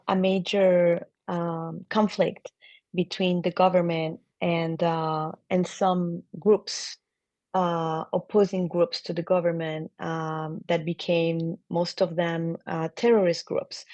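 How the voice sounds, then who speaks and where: Soft-spoken Peruvian-born woman, hesitant. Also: on the low side, little pitch movement, slow, dry recording, lo-fi sound. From Peru. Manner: thoughtful, hesitant, many fillers, soft laughs. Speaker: film director guest. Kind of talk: podcast interview answers.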